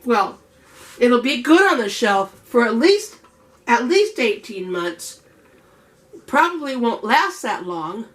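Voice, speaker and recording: A middle-aged woman chatting through a home canning tutorial in a homey way, her voice worn and clearing her throat as she is losing it. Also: on the high side, a bit bright. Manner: homey, chatty instructions to viewers. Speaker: home cook host.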